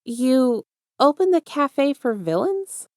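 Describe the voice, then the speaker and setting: A woman's voice: sits high, very animated, slow, a little mellow, no echo, clean studio sound, pitched above the performer's own voice, heavily acted. A woman voicing a startled, anxious homeless teenage boy who stammers and blurts in disbelief, in an audio story reading.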